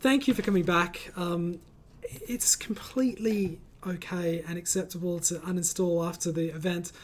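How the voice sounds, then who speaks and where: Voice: medium pitch, steady tone, moderate pace, slight background noise. A host of a casual online group chat, reading out comments and speaking in an encouraging, reassuring way over a voice call.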